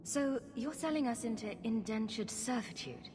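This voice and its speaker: An actress playing a determined young mage in a video game, composed, questioning and drily sceptical. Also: female voice, fairly high pitch, normal speed, natural voice. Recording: noisy ambience behind the voice.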